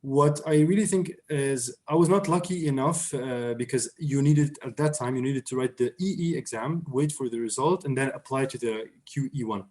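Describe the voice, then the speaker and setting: A man's voice: medium pitch, moderate pace, few pauses, darkish tone. A young man from Syria, a surgery resident, answering interview questions in a hesitant, casual way, with many fillers and restarts.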